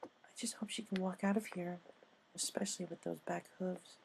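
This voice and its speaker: A man puts on a gentle female pegasus pony for a story reading. The voice is high, soft and timid. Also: fairly even intonation, normal speed, vocal fry, slight background noise, poor audio. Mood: hushed concern.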